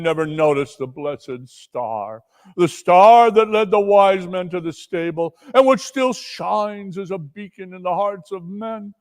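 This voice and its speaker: A man playing an anguished ghost of a dead businessman in a dramatic reading, declaiming mournful, drawn-out warnings. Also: on the high side, clean tone. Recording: long reverberant hall echo.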